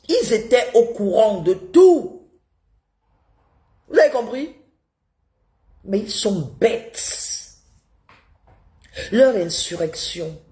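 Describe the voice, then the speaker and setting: Woman, head cold, indignant live-stream rant, slow unhurried delivery, pitch swinging up and down, scolding rhetorical questions. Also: mellow. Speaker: live streamer commenting on politics.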